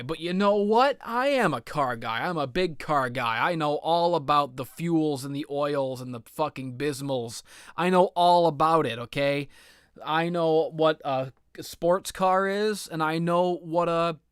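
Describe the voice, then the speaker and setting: A man's voice: on the high side, a bit bright, clear, resonant tone, no room sound, clean studio sound. A man giving rambling comedic video game commentary, with a self-deprecating, sarcastic and melodramatic manner.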